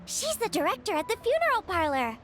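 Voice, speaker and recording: A woman playing a chatty, friendly teenage girl in an anime game, with a lively, gossipy way of talking. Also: extremely high pitch, brisk. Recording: music behind the voice.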